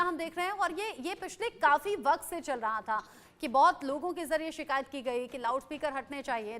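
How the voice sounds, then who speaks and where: Very high-pitched woman news anchor. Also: a bit bright. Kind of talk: live TV news reporting and questioning.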